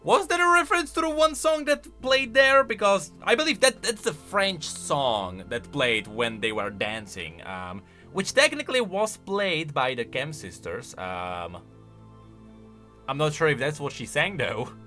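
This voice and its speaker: A man delivers casual reaction commentary on game lore. His voice sits a little high and is very lively in pitch, with a bright, forward edge. He explains things enthusiastically. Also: measured pace, soft background music.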